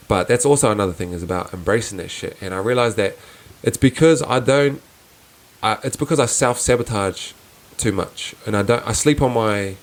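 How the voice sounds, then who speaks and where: Soft-voiced young man, earnest and low. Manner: earnest, reflective, with frequent restarts and fillers. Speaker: podcast host. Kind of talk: casual reflective solo podcast.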